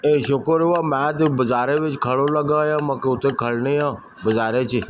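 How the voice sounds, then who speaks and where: A man's voice: mid-range voice, fairly even intonation, normal speed, background noise, natural voice. A man playing a chatty, grumbling, hard-up villager in an amateur rural audio drama, speaking colloquially. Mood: complaining, chatty.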